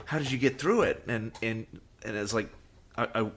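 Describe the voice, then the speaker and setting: A man in his fifties co-hosting a podcast, chatting casually and reminiscing in a halting way, repeating phrases as he goes. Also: medium pitch. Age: 50s. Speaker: podcast co-host. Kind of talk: casual reminiscing fan chat.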